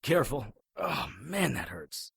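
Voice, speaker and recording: A man's voice: mid-range voice, sing-song, a little slow, gravelly, noisy background, full character voice. A man playing a blunt, skeptical private investigator in an audio drama, wry and urgent. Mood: pained, shouting. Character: gruff, skeptical amnesiac private investigator hero.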